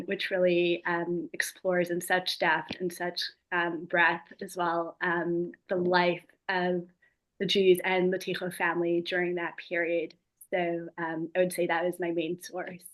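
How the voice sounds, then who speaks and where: A woman author speaks in an earnest, steady way over a video call. Her pitch sits somewhat low and barely moves. She pauses often with ums and "you know.". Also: poor audio. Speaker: author guest. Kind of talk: talking about her book.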